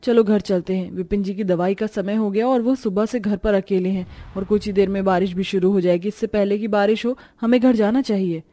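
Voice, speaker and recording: A woman voicing a poor young married woman in a cartoon story, gentle, concerned and practical. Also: mid-range voice, fairly even intonation, brisk, few pauses, close to an everyday voice. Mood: worried. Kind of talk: cartoon story character dialogue.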